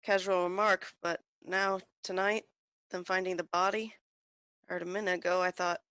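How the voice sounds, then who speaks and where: An actress playing a chatty, careless small-town druggist in a 1940s-style radio play. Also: female voice, lowish voice, little pitch movement, moderate pace, fairly bright tone, smooth, no echo, lightly acted. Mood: uneasy, apologetic.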